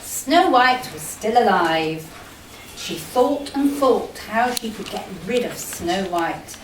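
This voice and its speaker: A woman narrating a pantomime as a scripted storybook tale, with dramatic, deliberate delivery. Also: mid-range voice. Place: live amateur stage in a hall. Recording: echoey hall, distant mic, clear noise.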